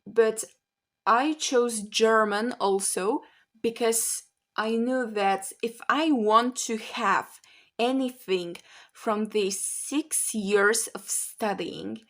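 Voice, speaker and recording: A young woman from Poland, chatting candidly to camera about learning languages. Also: on the high side. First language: Polish. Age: young adult. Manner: chatty, candid, slow and musing. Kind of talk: casual talk to camera.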